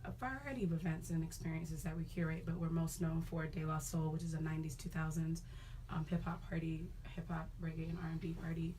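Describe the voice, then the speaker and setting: A woman's voice: low-pitched, fairly even intonation, brisk, dark tone, far from the mic, a little hiss or hum, rough recording. A woman guest introducing her event business, easygoing with frequent ums. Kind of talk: casual conversation.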